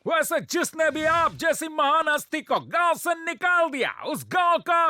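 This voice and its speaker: An actor voicing a small, bossy, quick-tempered schemer in a cartoon, brash and wheedling. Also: male voice, extremely high pitch, animated, bright, forceful tone, no room sound, studio quality, exaggerated voice. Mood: cocky, flattering. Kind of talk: cartoon dub acting.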